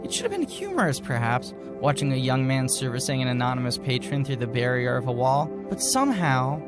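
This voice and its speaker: A man's voice: medium pitch, sing-song, a little fast, loud music behind the voice. A man co-hosting a podcast, giving a mock-serious dramatic reading and breaking off into casual, amused asides. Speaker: podcast co-host reading aloud.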